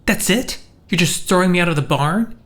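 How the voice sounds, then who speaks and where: A male voice performed by an actor plays a wounded, hot-tempered lover in an audio drama. His delivery is emotional and protesting, with big swings in pitch. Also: lively intonation, normal speed, fairly bright tone. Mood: hurt, pleading. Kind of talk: scripted audio drama acting.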